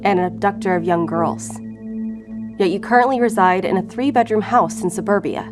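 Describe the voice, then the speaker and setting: A woman's voice: mid-range voice, a little fast, music in the background, lightly acted. An actress playing a defensive, earnest female federal agent in an audio drama, guarded in manner. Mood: accusing. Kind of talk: audio fiction drama acting.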